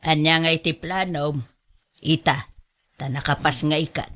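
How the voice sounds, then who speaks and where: An unhurried, fretful grandmother played by a woman in a radio drama. She admonishes and fusses in drawn-out exclamations. Underneath the scolding she is caring. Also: low voice, steady tone, a bit bright. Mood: scolding, worried.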